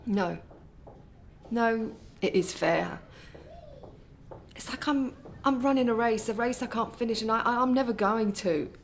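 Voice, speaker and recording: A woman's voice: on the high side, a little slow, long pauses, slight background noise. An actress playing a middle-aged mother visiting her son in hospital, defensive and remorseful. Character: chaotic, guilt-ridden middle-aged mother. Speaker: patient's mother. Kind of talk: radio play acting.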